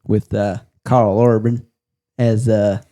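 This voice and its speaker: A man's voice: on the low side, little pitch movement, slow, mellow. A man talking casually about movies as a panelist on a podcast.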